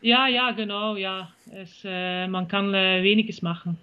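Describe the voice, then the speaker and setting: A woman from Belgium with a Belgian accent, answering interview questions as a country singer, pausing often to search for words. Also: mid-range voice, fairly even intonation, clean tone, dull audio. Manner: halting, searches for German words with many fillers.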